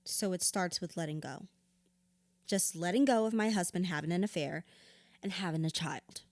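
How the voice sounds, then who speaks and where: Woman acting a guarded blunt lady in therapy, lowish voice, dry close sound, audio drama. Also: moderate pace, darkish tone, dry recording, close to an everyday voice. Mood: subdued, accepting.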